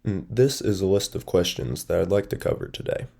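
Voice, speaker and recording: Young male student presenter, nasal and stuffy, low gravelly voice, formal measured read. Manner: measured, formal, steady delivery. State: stuffy from a sinus infection.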